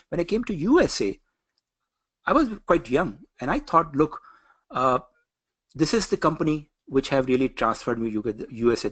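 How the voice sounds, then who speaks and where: A middle-aged man from Pakistan speaks with a Pakistani accent, teaching a career coaching session. He talks earnestly and often repeats words as he thinks. His pitch is in the middle for a man. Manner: earnest, repeats phrases, frequent uh fillers. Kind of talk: online coaching session lecture.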